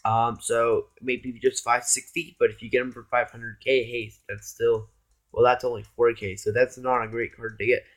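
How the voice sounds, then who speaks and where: A hoarse man giving casual, rambling gameplay commentary as a YouTuber, often addressing his viewers as guys. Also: medium pitch, little pitch movement, normal speed. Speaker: gaming YouTuber.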